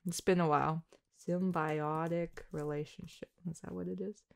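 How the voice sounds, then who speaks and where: A woman talking casually and from the heart to her listeners, halting and self-deprecating, in an informal way. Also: fairly low pitch, fairly even intonation, gravelly, no room sound. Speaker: audio roleplay and ASMR creator.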